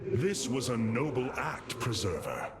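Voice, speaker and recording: Commanding alien warrior leader, dramatic. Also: male voice, medium pitch, very animated, measured pace, over a noisy crowd. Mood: earnest, firm. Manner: formal, commanding, declamatory. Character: noble, resolute alien warrior leader, male. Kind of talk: dramatic video game cinematic acting.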